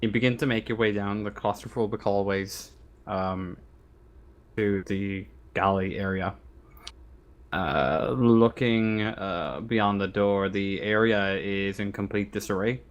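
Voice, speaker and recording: A man running a tabletop roleplaying game as game master, describing scenes and rules in a halting way, stumbling over words. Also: on the low side, steady tone. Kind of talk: improvised tabletop game narration and rules talk.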